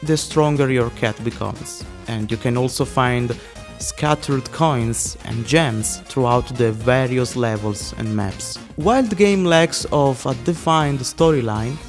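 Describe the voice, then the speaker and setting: A man from Italy with an Italian accent, reading a scripted video narration at a quick pace. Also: medium pitch, soft background music. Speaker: YouTube narrator.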